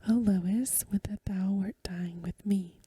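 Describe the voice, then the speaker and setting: A woman reading a Victorian story for ASMR in a hushed, gentle, breathy whisper, unhurried and close to the microphone. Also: medium pitch, steady tone, no echo. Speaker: ASMR reader.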